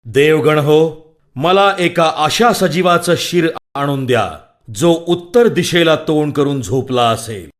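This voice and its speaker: A man's voice: on the high side, animated, slow pace. A man voicing a mighty, wrathful god in a children's mythological cartoon, speaking with commanding, dramatic delivery.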